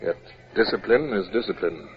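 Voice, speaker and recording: A man's voice: on the low side, lively intonation, normal speed, music in the background, natural voice. A man playing a stern, reserved British naval captain who speaks formally and narrates his adventures in a 1950s radio drama. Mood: grave narration. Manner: formal, clipped, commanding. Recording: muffled, hissy old radio recording.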